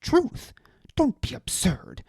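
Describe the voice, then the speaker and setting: A narrator voicing a pompous, petulant man who is easily outraged and talks in shrill, indignant bursts. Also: slow pace, warm tone, dry recording, studio quality, lightly acted.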